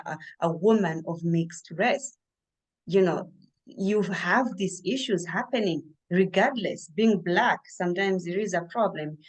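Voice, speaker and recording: Emphatic woman host, lower voice. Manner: animated, emphatic, addresses guest directly. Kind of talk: casual conversational interview.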